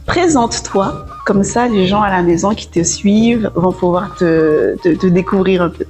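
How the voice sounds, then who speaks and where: A woman's voice: mid-range voice, expressive, moderate pace, darkish tone, soft background music. A woman hosting a casual interview show, chatting informally and sometimes slipping English phrases into her French. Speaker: host interviewer of a show about returning to the homeland.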